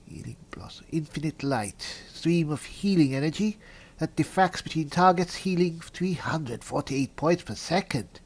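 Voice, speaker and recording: A man's voice: a little high, a little slow, slight background noise. Man roleplaying through a fantasy game. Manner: polite, musing aloud, reads spell descriptions out. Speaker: gaming video host and player. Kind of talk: in-character roleplay mixed with game commentary.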